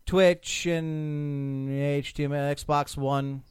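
A man's voice: a little high, steady tone, extremely slow, clear, resonant tone, no echo. A man hosting a podcast, teasing his co-hosts in casual banter. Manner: wisecracking, teasing. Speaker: podcast host.

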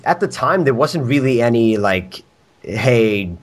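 A man's voice: medium pitch, animated, unhurried. A man from Sweden with a Swedish accent, a podcast guest chatting casually, rambling, laughing often and swearing.

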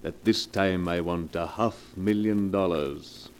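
An actor playing a smooth, mockingly polite blackmailer in a taunting 1940s radio melodrama style. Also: male voice, low voice, fairly even intonation, a little slow. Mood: smug, taunting. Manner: suave, taunting, mock-courteous. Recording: noisy vintage 1940s radio recording.

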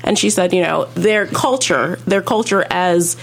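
A woman talking casually as a podcast panelist, with an expressive, conversational delivery. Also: lowish voice, a little slow, vocal fry, soft background music.

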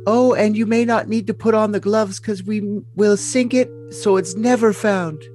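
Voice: medium pitch, steady tone, normal speed, darkish tone, soft background music, natural voice. A performer voicing a sweet, submissive young woman in love, in an overly dramatic, gushing reading of messages. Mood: earnest.

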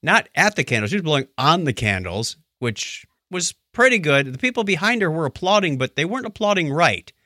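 Animated, bright-voiced man bantering on a podcast. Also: a little high, a little fast, few pauses, no room sound, clean studio sound. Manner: wry, joking, incredulous. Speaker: podcast co-host.

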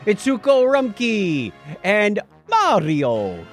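Animated man hosting a chatty podcast. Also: high-pitched, slow pace, soft background music. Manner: jokey, chatty. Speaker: podcast host. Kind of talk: casual news and birthdays banter.

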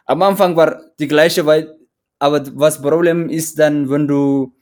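A man's voice: on the high side, steady tone, measured pace, darkish tone. A man from Eritrea with an Eritrean accent, a runner interviewed in a casual chat, speaking hesitantly with many restarts as he searches for words. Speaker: guest, mountain runner.

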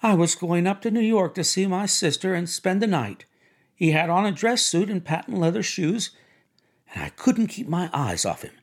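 A man voicing a brassy, gushing, excitable woman in an audiobook, with theatrical, affected chatter. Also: high-pitched, moderate pace, voice pushed up, heavily acted. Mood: giddy, thrilled. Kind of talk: audiobook character voice.

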